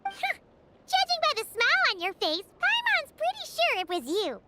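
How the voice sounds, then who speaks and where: A woman voicing a tiny, excitable fairy companion in a video game, with a squeaky, childlike, bubbly delivery. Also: moderate pace, heavy background noise, full character voice. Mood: chatty, eager. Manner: bubbly, third-person self-reference. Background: music.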